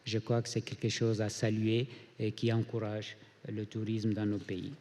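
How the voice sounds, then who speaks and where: A man from Mali speaking on a conference panel as a hotel group founder, measured with frequent hesitations. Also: on the low side, completely flat delivery, warm tone, slight background noise. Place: conference hall. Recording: reverberant conference hall.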